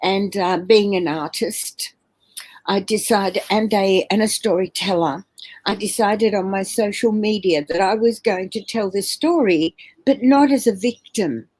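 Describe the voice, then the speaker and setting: Husky older woman on a video call. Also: medium pitch, a little mellow, poor audio. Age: 70s. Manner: earnest, halting, many fillers and restarts. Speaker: interview guest. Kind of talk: personal story told on a video call. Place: home room.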